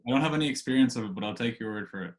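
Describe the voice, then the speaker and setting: A man with a medium-pitched voice hosts a casual podcast conversation. He puts his questions in a loose, hesitant way and readily agrees with his guest. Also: lively intonation, racing. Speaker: podcast host, interviewer.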